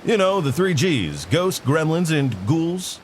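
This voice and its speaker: A man's voice: a little high, big pitch swings, takes it slowly, a little hiss or hum. A man playing an eccentric, chatty FBI agent in an audio drama, with formal, articulate and quirky speech. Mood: dry, probing. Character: eccentric, chatty male FBI agent dictating to tape recorder.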